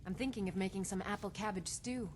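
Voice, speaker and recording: A woman's voice: medium pitch, fast, close to an everyday voice. A woman playing a dutiful, earnest warrior servant in a fantasy video game, plain-spoken. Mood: absent-minded. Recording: clear music under the voice.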